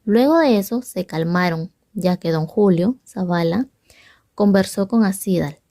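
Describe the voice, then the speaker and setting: A woman's voice: mid-range voice, animated, measured pace, mellow, dry recording, clean studio sound. A young woman, a student presenter, reading a scripted story summary as narrator. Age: young adult.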